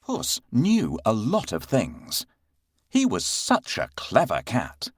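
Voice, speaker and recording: Slow, very animated male storyteller. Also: mid-range voice, slightly rough, dry recording, clean studio sound. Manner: measured, expressive. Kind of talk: scripted children's story narration.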